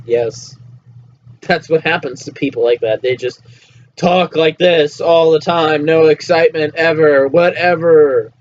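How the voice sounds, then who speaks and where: A young man hosts a casual home podcast, joking with his friend and often breaking into laughter or sudden shouts. His voice sits a little high. The recording is dull and lo-fi, with a faint hiss underneath. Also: measured pace. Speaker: podcast host. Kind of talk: casual chat.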